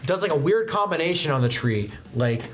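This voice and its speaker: A man's voice: on the high side, lively intonation, fairly quick, heavy background noise. A man working as game master, narrating an improvised tabletop game in a casual, theatrical way. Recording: music playing behind the voice. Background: background music.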